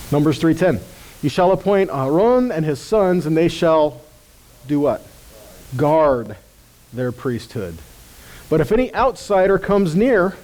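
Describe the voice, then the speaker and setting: A man's voice: fairly high pitch, warm tone, low-quality recording. A man giving an informal scripture teaching in a conversational way, often checking in with listeners. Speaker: ministry teacher.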